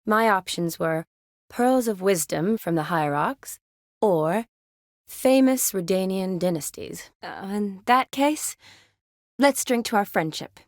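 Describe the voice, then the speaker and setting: A woman's voice: mid-range voice, a little mellow, no room sound, close to an everyday voice. An actress as a composed young woman medic, dry recording. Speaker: video game character, army surgeon. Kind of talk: video game dialogue acting.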